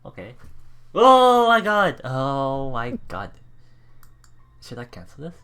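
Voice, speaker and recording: A let's play streamer reading a visual novel aloud and adding casual, animated, reactive commentary. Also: mid-range voice, takes it slowly, clear, resonant tone. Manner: animated, reactive, laughs often. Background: game music.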